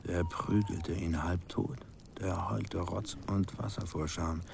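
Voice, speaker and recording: Low, gravelly, brooding male storyteller. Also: steady tone, noisy background, heavily acted. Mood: brooding, hushed. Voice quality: gravelly, husky, breathy. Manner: deliberate, hushed, with pauses. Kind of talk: slow dramatic radio play storytelling.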